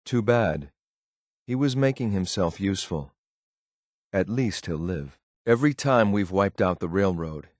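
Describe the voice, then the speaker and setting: A cold, dutiful male synth agent from a video game in a low-pitched voice. The delivery is clipped, formal and deadpan, measured throughout. The recording is very dry and close. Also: dry recording. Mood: stern, detached. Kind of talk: scripted video game companion lines.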